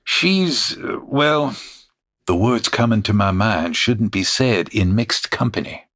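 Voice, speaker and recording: A man's voice: fairly low pitch, animated, slow, a bit bright, heavily acted. A man doing a folksy, drawling cowpoke. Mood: bewildered. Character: puzzled drawling drifter, a man woken in bed.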